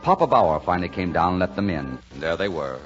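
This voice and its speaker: A man's voice: sits low, sing-song, fast. An actor playing a plain-spoken, reassuring American man telling a Christmas story in 1940s radio drama style. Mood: measured storytelling. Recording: dull 1940s radio broadcast, music behind.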